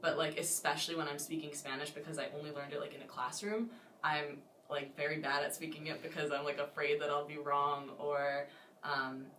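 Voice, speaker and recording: A woman's voice: deep voice, steady tone, a little fast, a bit bright. A young woman giving a casual interview answer, peppered with "like". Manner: filler-heavy "like". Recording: echoey, distant, faint noise.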